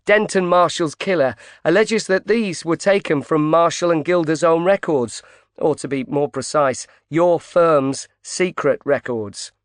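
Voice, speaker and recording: A man voicing a sharp female reporter. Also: a little high, a little slow, dry recording, clean studio sound, voice pushed up, natural voice. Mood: pressing. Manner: challenging, pressing.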